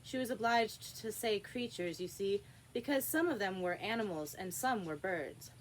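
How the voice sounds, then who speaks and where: A woman reading a children's classic aloud as an expressive storybook narrator. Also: mid-range voice, normal speed, a little hiss or hum, low-quality recording. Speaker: storybook reader.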